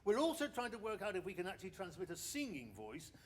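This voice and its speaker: Fretful high-voiced 1920s research engineer man. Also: wildly swooping pitch, fast, heavily acted. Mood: hesitant, explaining. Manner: hesitant, stammering, fretful. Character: anxious, sceptical 1920s research engineer, military captain. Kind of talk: period radio drama acting.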